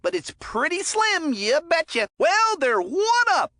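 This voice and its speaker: Folksy hockey announcer for a kids game, swoopy lively voice, super dry sound, chummy n upbeat. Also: female voice, very high voice, unhurried, dry recording, heavily acted. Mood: upbeat, excited. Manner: folksy, chummy, slangy and encouraging. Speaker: hockey commentator character. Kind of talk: video game sports commentary voicelines.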